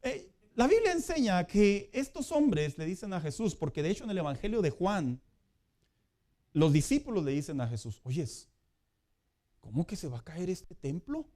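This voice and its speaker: A man preaching a sermon as pastor in a conversational way, building to loud emphatic peaks. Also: high voice, big pitch swings, normal speed.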